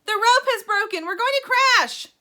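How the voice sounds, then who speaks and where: A woman voicing a hysterical, fussy middle-aged mother who is shrill and panicky. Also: lively intonation, moderate pace, sharp tone, heavily acted. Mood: panicked.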